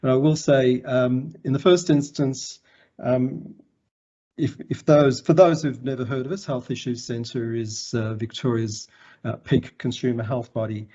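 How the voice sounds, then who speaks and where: An Australian man giving a formal conference presentation in a measured, halting way. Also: medium pitch, steady tone, dark tone, lo-fi sound. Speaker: conference presenter, health organisation chief executive.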